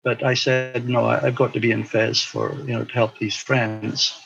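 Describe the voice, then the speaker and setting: An older man reflecting on his past in an interview, speaking in a hesitant, halting way. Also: medium pitch, flat delivery, vocal fry, dull audio. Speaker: interview guest, former teacher abroad. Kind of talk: recollection in an interview.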